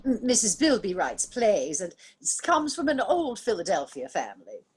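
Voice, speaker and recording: A woman acting a haughty, ancient matriarch in a radio drama, with a husky voice and a grand, condescending manner. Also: mid-range voice, huge pitch swings, moderate pace, darkish tone, full character voice, poor audio. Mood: grandly reminiscing. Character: imperious, haughty, ancient matriarch of eerie store dwellers.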